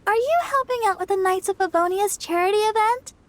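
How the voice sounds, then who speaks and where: An actress playing a sweet, earnest young girl in a video game, gentle and a little bashful. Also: very high-pitched, fairly quick. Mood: sweet, admiring. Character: sweet, earnest young church idol girl, modest and helpful. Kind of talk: video game cutscene acting.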